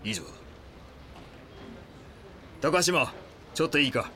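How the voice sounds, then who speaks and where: A police chief in a crime radio play, with faint background noise. His medium-pitched voice is formal, cautious and commanding. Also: very animated, slow pace, bright, forceful tone, heavily acted. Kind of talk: audio drama acting.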